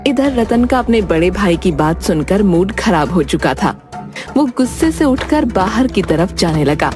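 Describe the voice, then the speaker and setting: Female storybook narrator, lively intonation, medium pitch, scripted cartoon tale over music. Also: measured pace.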